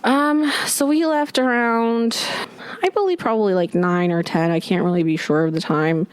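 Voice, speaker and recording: A young woman from Poland, answering interview questions in a thoughtful, halting way with frequent restarts. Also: mid-range voice, steady tone, clear, resonant tone. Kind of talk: candid interview.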